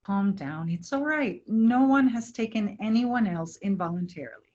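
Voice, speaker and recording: A woman playing a calm, reassuring troupe member in a staged play reading. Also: medium pitch, moderate pace, dull audio, lightly acted. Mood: placating. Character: calm, placating member of a travelling theater troupe.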